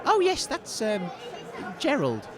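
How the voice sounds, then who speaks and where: A man playing an excitable, good-natured comic sports commentator, enthusiastic but hesitant and easily flustered. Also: very high-pitched, slow pace, crowd noise, heavily acted. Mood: defensive, kindly. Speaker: sports commentator and radio co-host.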